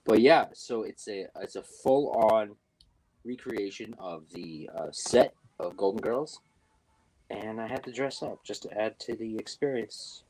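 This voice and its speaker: Young male guest, low husky voice, rambling casual talker. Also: unhurried, darkish tone, poor audio. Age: young adult. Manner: rambling, lots of fillers and restarts. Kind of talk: casual chat. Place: New York.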